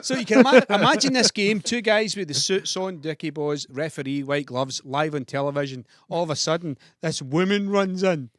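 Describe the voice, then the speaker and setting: A man's voice: fairly high pitch, moderate pace, few pauses, a bit bright, no room sound, clean studio sound. A man with a Scottish accent, a podcast guest telling a story in casual chat and laughing often. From Scotland.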